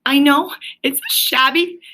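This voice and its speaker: A woman's voice: high voice, a little slow, pushed and bright, pitched above the performer's own voice. A woman voicing a homesick, heartbroken little male mole in a children's storybook, pleading in a broken, plaintive way. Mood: tearful, pleading. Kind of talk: children's storybook read aloud.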